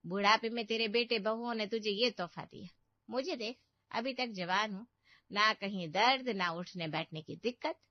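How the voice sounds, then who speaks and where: A woman's voice: mid-range voice, normal speed, dry recording, lightly acted. A woman voicing a boastful, fault-finding elder aunt in a Hindi cartoon family story, with a scolding, exclamatory manner. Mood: critical, boastful. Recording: dull, muffled studio voice track.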